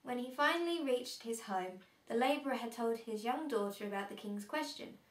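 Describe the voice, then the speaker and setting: Woman playing teasing older relative reading bedtime stories, highish voice, roomy echo. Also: lively intonation, normal speed, natural voice. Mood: storytelling. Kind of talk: bedtime storytelling in amateur drama. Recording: roomy echo, faint background noise.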